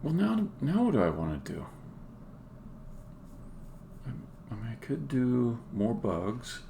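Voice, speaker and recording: A man live-streaming game development, thinking aloud in a casual, halting way as he works, often muttering to himself. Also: medium pitch, wildly swooping pitch, gravelly, mellow. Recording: faint noise, keyboard typing and clicks. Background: computer keyboard typing and mouse clicks.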